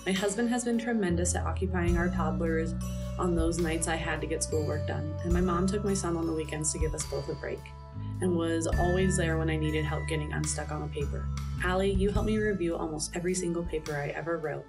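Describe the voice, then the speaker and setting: A woman's voice: low-pitched, a little fast. A woman in her thirties giving a prepared thank-you message as a graduate. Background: loud music.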